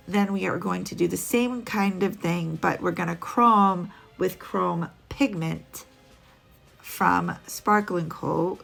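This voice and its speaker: Slow, gravelly-voiced woman chatting about nails. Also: on the low side, a bit bright. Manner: chatty, rambling, with frequent ums and little laughs. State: a little sniffly. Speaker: nail art vlogger. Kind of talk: casual tutorial voice-over.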